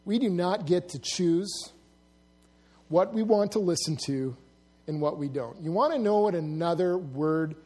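A middle-aged man preaching with a high-pitched voice, pushing hard on key words and then dropping to a low, hushed intensity. His sermon rings through a church hall with a long reverb tail, and he lightens it with humorous stories. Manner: dramatic shifts between loud emphasis and hushed, low-voiced intensity; storytelling with humor, drawing laughs. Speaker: pastor.

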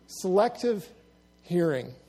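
A middle-aged male pastor preaching a sermon, swinging between loud emphasis and hushed, low intensity, with humorous asides. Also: sing-song, slow pace. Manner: storytelling with humor, drawing laughs. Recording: long hall reverb.

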